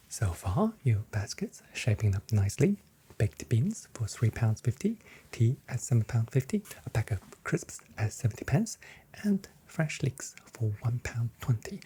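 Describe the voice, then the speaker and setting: Soft, mellow whispering ASMR voice, sex ambiguous. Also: mid-range voice, slight background noise. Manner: soft-spoken, breathy whispering. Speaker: ASMR performer playing a shop assistant. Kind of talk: whispered ASMR shop role play.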